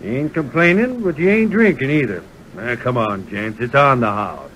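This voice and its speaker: An actor playing a hearty saloon bartender with a Western accent in an old radio western. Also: male voice, moderate pace, natural voice. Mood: amused, generous. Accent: American Western. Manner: hearty, animated. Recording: old radio recording, rumble and hiss.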